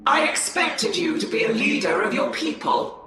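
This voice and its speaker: An actress playing a stern, haughty ancient alien warrior lord in a game, commanding and taunting in a deliberate way. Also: female voice, sits high, big pitch swings, crowd noise. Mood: scornful. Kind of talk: theatrical game cinematic acting. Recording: heavy otherworldly echo.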